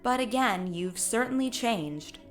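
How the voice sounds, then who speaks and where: A woman playing a mysterious, ancient female ghost in an audio drama, speaking in a formal, cryptic, measured way. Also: fairly high pitch, expressive, faint music behind. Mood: cryptic, aloof.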